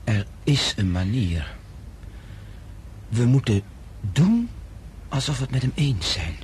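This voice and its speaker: A man's voice: mid-range voice, very animated, slow, a little mellow. An actor playing a worried, cautious male doctor in a radio play. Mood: grave, cautious. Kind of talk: Dutch radio play acting. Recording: noisy old radio drama recording.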